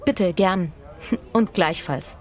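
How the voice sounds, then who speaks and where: A woman plays a busy professional answering questions in a language-learning dialogue. She is matter-of-fact and practical, with a slightly low voice. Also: very slow, a little mellow. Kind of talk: scripted language-lesson dialogue.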